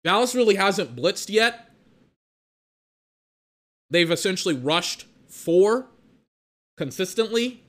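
Bright-voiced excitable young football commentator. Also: male voice, high voice, takes it slowly, pauses often. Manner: excitable, peppered with filler words and mock voices. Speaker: watch-along football commentator. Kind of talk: casual live play-by-play and analysis.

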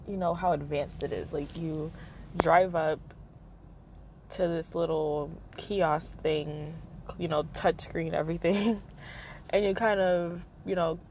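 A young woman hosting her own podcast, talking casually in a monotone, rambling manner with lots of fillers. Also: gravelly, a little hiss or hum, poor audio. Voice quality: monotone, low-key delivery. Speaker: podcast host. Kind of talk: casual personal monologue.

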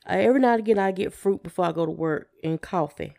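A woman's voice: fairly low pitch, quick pace, gravelly, dark tone. A woman co-hosting a podcast in a casual, conversational style. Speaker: podcast co-host.

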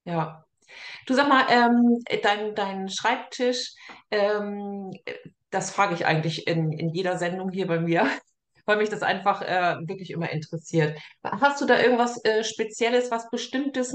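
A woman's voice: mid-range voice, measured pace. Woman interviewer in an echoey room. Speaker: interview host. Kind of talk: casual conversational interview. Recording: echoey, roomy sound.

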